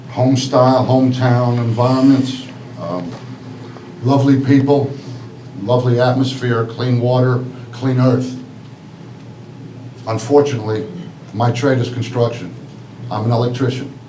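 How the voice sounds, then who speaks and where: A man's voice: mid-range voice, steady tone, unhurried, pauses often, mellow. A middle-aged man from Greece, an electrician, giving a plain, emphatic public comment at a city meeting. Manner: plain, emphatic, repeating key words. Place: council meeting room. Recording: echoey hall, distant mic, faint noise.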